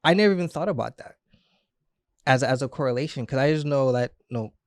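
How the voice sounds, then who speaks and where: A man's voice: mid-range voice, fast talker, dry recording, studio quality. A young man who grew up between Trinidad and Florida, chatting casually as a podcast guest, laughing often as he tells stories. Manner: laughs often, relaxed storytelling with restarts. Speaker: stylist guest. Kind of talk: casual interview chat.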